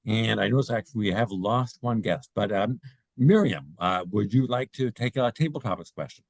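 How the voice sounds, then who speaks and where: A man's voice: on the low side, animated, normal speed, dry recording, rough recording. A man moderating an online meeting on a video call, hesitant, with stammered restarts.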